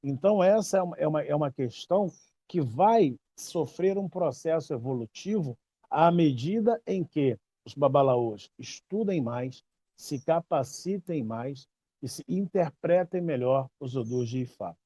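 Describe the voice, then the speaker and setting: A man's voice: a little high, measured pace, no echo. Emphatic Brazilian man in his fifties. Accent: Brazilian Portuguese. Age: 50s. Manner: emphatic, repeats phrases, lively storytelling. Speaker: babalawo teacher. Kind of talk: casual explanatory talk.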